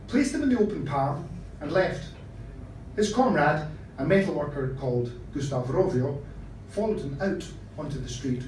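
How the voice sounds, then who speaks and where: A man reading a short story aloud at a live event, in a deliberate, theatrical storytelling manner. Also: fairly high pitch, a little mellow. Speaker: reader of short fiction at a live literary event. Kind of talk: dramatic scripted story reading. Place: live event in a social room. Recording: echoey room, distant mic, faint noise.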